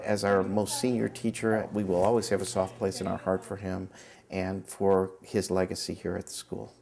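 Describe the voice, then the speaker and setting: A man giving a formal, measured interview statement as a school administrator. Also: low voice, completely flat delivery, vocal fry, a little mellow.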